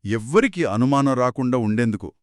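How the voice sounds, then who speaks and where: An engaged story co-host speaks with a widely swinging, animated melody. The delivery is conversational and curious, built on questions and prompts, in a dry, close recording with no room sound. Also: mid-range voice, a little fast, dry recording.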